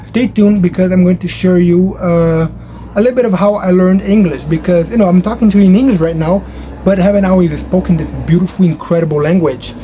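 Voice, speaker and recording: A man's voice: brisk, warm tone, low-quality recording. A man whose first language is Portuguese, talking casually to camera from his bedroom in a rambling way with many fillers and restarts. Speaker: language-learning YouTuber. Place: his bedroom at home, near a highway. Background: traffic noise from a nearby highway.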